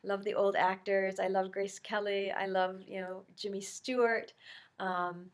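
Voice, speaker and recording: A woman's voice: mid-range voice, little pitch movement, a little slow. Brief: middle-aged woman vlogger, stuffy with a cold, rambling and chatty, mid-range voice. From Canada. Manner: chatty, rambling, many ums and you-knows. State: congested from a cold. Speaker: YouTube vlogger. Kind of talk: casual Q&A chat to camera.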